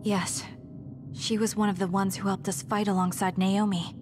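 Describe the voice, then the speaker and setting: A woman's voice: mid-range voice, flat delivery, moderate pace, close to an everyday voice. A woman voicing a sober, straightforward soldier in an anime game, serious and direct. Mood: focused. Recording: music behind the voice.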